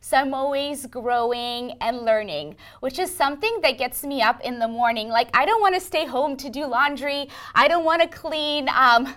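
Animated woman from Ukraine, higher voice. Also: moderate pace, hardly any pauses. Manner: animated storytelling with frequent ums and laughs. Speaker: interview guest, engineering manager. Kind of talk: casual interview talk.

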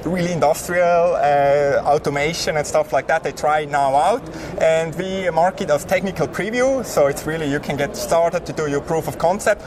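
A man from Switzerland with a Swiss accent, explaining a hardware product in a casual tech interview, halting and full of fillers. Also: a little high, a crowd in the background. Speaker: hardware company representative. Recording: noisy background.

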